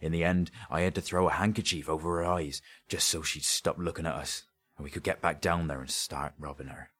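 A man's voice: a bass voice, fairly even intonation, brisk, fairly bright tone, husky, full character voice. A man voicing a haunted, greedy grave robber in an audiobook story, with a confiding, colloquial way of telling things. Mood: grim, recounting.

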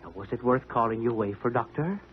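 An actor playing a blunt, wheedling body-snatcher, rough and familiar, in a 1950s radio drama. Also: male voice, medium pitch, fast, lightly acted. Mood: blunt, impatient. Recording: tinny, noisy old 1950s radio broadcast.

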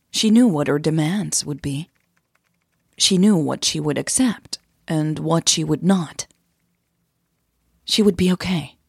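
A woman's voice: fairly low pitch, darkish tone, husky, no room sound, clean studio sound. A woman reading scripted audio drama narration as a podcast host and narrator.

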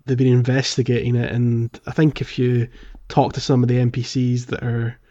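Guy podcast host, mid-pitched voice, jokey casual gaming chat, does bits of dialogue. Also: monotone, moderate pace, warm tone, highs cut off, a little hiss or hum. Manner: jokey, acts out characters' lines. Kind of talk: casual video game discussion.

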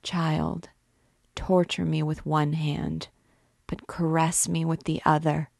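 A woman's voice: deep voice, flat delivery, takes it slowly, creaky, a little mellow, no echo, studio quality, heavily acted. A woman reading an anguished male priest who pleads desperately for love in a storybook reading. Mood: tormented.

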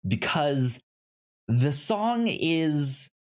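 A man hosting a podcast in a casual, chatty style, sometimes halting and repeating words as he searches for phrasing. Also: a little high, big pitch swings. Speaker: podcast host.

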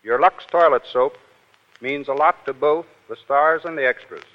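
A man's voice: on the high side, normal speed. A man giving a prepared, scripted talk as a guest on a 1930s radio show. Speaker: guest speaker, casting director. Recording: muffled, reverberant 1930s radio recording.

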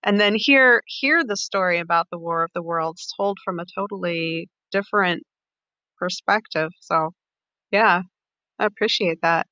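Female co-host, bright forward voice, conversational storyteller, dry but slightly muffled audio. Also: on the low side, unhurried, smooth, no echo. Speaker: podcast co-host. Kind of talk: casual chat, personal storytelling.

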